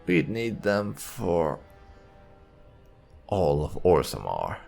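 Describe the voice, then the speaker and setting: A man giving roleplay commentary on a video game, thoughtful and deliberate, voicing his lines in between remarks. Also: low voice, huge pitch swings, creaky, a little mellow, soft background music. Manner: thoughtful, deliberate, reading character dialogue aloud. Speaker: gaming channel host. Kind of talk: roleplay let's play commentary.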